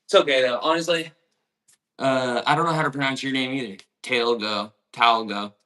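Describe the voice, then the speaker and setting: A man's voice: mid-range voice, fairly even intonation, moderate pace, sharp tone. Laid-back rambling young man. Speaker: home baker. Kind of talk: casual chat while baking.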